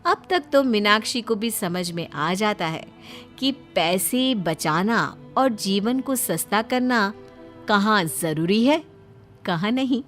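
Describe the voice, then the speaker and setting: A woman's voice: mid-range voice, animated, slow, fairly bright tone, faint music behind. A woman narrating a scripted domestic story as a storyteller.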